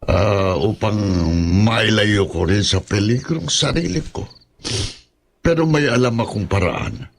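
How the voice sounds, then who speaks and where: An actor playing an older Filipino village healer and sorcerer, sly and ominous, speaking with halting pauses in a horror audio drama. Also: male voice, deep voice, creaky, husky, full character voice. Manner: halting, deliberate, with hesitant pauses.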